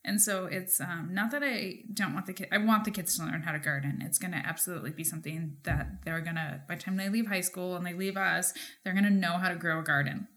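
A woman's voice: on the low side, steady tone, quick pace, few pauses, fairly bright tone. An American woman in her thirties speaking as a podcast co-host in casual conversation, informal and peppering her sentences with "like". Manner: informal, frequent "like" as filler. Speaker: podcast co-host and market gardener.

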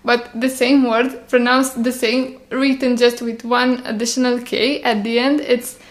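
Young woman, higher pitch. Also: little pitch movement, measured pace. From Romania. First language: Romanian. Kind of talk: casual podcast chat.

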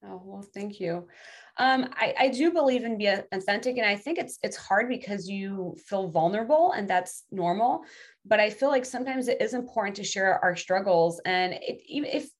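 A woman whose first language is French chats earnestly in English on a podcast, circling back and restarting her sentences as she thinks aloud. Her voice sits at an ordinary, middle pitch. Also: animated, moderate pace. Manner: earnest, rambling, many restarts. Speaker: podcast guest, language instructor. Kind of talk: casual interview chat.

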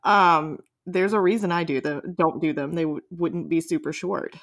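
A woman with a slightly low voice co-hosts a casual discussion podcast. She is enthusiastic and very informal, peppering her sentences with filler "like" as she puts questions and opinions. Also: fairly even intonation, normal speed. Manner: informal, enthusiastic, says "like" constantly. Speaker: podcast co-host and interviewer.

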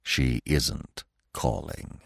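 A man voicing a wise old stag in a storybook, speaking earnestly with quiet, stern command. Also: extremely low pitch, animated, extremely slow, vocal fry, no echo, clean studio sound, pitched below the performer's own voice, full character voice. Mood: grave, commanding.

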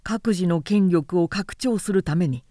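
A woman voicing an outspoken, indignant samurai man in a period-novel audiobook, forceful and insistent in formal speech. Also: on the low side, unhurried, no echo, clean studio sound, natural voice. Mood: indignant, accusing.

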